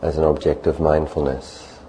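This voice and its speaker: A man with a very low, soft-edged voice gives guided meditation instruction. He speaks calmly in short, unhurried phrases and leaves long pauses between them. There is faint ambient noise behind him. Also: rough recording. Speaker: meditation teacher.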